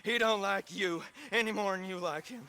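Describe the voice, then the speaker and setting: A frightened young American outlaw as a western game's captive. His high, tense voice is nervous, evasive and pleading. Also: moderate pace, sharp tone. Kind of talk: cinematic western game acting.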